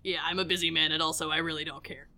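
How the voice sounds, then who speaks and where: A woman playing a curt, deadpan male park director, gruff and worn out, in a comic audio drama. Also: sits low, very fast, crowd noise, voice pushed down. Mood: dismissive, wary.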